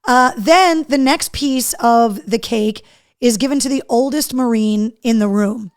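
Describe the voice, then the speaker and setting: A woman hosting a live show in casual chat, her voice hoarse, chatty and loose. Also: fairly high pitch, measured pace. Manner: chatty, talks to her dog between remarks. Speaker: host.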